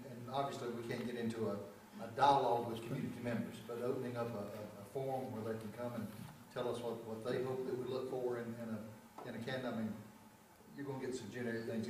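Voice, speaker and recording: A man weighs in at a board meeting, rushing and restarting his phrases. He is heard from far off in a large echoey room, with faint noise behind. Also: mid-range voice, level tone, darkish tone. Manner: quick, restarts often. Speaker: school board member. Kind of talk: meeting discussion. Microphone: far camera.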